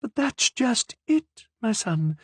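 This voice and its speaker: A man's voice: very high-pitched, very animated, slow, slightly rough, dry recording, voice pushed up, exaggerated voice. A man voicing an older queen mother in an audiobook, pleading earnestly and formally with her son. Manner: imploring, formal speech.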